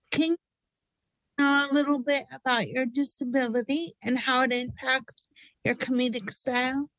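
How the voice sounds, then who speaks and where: High-voiced woman radio interviewer. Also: fairly even intonation, measured pace, a bit bright, no echo, rough recording. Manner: affirming, asks open questions.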